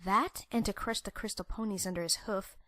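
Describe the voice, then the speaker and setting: A woman's voice: mid-range voice, fairly even intonation, fairly quick, a bit bright, no echo, studio quality, natural voice. A woman voicing a wary, creeped-out female pony in a cartoon comic dub. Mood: uneasy, wary.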